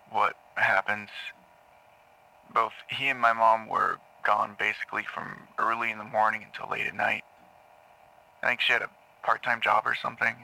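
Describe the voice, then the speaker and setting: An actor playing a nervous, troubled man who speaks haltingly and apologetically in an audio drama. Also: fairly low pitch, creaky, pushed and bright, full character voice, rough recording. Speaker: actor playing a therapy patient.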